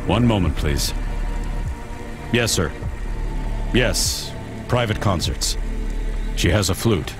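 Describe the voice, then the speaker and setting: A man's voice: lowish voice, expressive, slow pace, long pauses, music in the background. A man playing a by-the-book, mild-mannered checkpoint guard in a video game, plain-spoken and officious. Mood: deferential.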